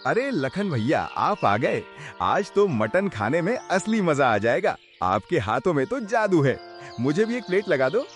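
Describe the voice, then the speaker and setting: A man's voice: on the high side, big pitch swings, a little slow, soft background music. Man doing a cheerful village customer. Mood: friendly. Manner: friendly, eager, praising. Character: cheerful male villager, regular food customer. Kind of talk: cartoon story voice acting.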